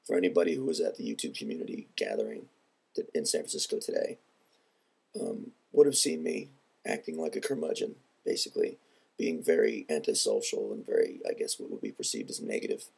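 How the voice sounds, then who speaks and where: A man speaking in an earnest, measured and reflective way in a personal vlog, his voice congested from a head cold. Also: extremely low pitch, big pitch swings, vocal fry, darkish tone, husky. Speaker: video blogger.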